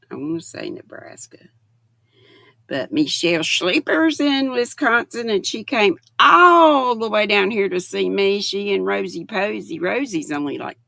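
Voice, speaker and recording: Older woman, gravelly voice, drawl, dry close sound. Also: medium pitch, a bit bright, dry recording. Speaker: livestream host. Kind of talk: casual chat with live viewers.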